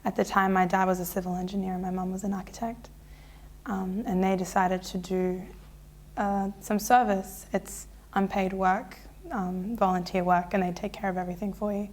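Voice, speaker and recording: A woman, telling her life story in a relaxed, chatty way full of fillers and restarts. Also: mid-range voice, moderate pace. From Australia. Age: 20s. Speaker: interviewee. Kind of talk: casual personal storytelling.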